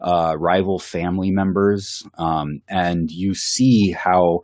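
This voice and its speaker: A man's voice: low voice, fairly even intonation, takes it slowly, darkish tone, dry recording, studio quality. A man with a cold, co-hosting a Bible study in casual, enthusiastic chat. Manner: enthusiastic, with filler words and laughs. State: has a cold.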